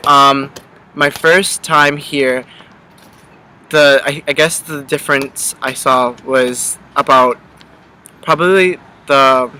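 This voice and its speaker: A man's voice: medium pitch, steady tone, takes it slowly, bright, forceful tone. An eighteen-year-old man from Connecticut chatting casually as an exchange student vlogger, rambling with many ums and restarts. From Connecticut, USA. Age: teens. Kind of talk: casual chat.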